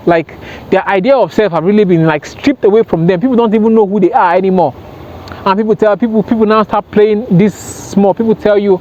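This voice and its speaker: High-pitched man giving an earnest motivational talk. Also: a little mellow. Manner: earnest, repetitive, emphatic. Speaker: self-help vlogger. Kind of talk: impromptu motivational talk to camera. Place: outdoors by water, sitting on a rail. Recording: dull lo-fi sound, outdoor noise.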